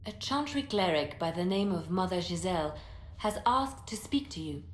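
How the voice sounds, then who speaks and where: An actress playing a poised, tactful advisor in a fantasy video game, speaking politely and with measured diplomacy. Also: female voice, lowish voice, lively intonation, lightly acted. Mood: earnest. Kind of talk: video game cutscene acting. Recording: roomy echo, noisy ambience.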